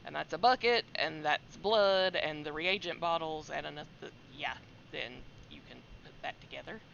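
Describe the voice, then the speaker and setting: A woman game streamer giving casual live horror game commentary in a halting, reactive way. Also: low-pitched, gravelly, fairly bright tone. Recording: slightly dull audio, faint noise.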